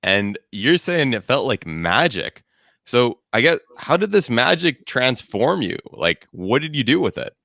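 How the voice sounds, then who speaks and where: A man's voice: medium pitch, very animated, a little slow, gravelly, sharp tone, dry recording, studio quality. A man hosting a podcast, asking questions with brief encouraging interjections. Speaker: podcast host. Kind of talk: interviewer, casual chat.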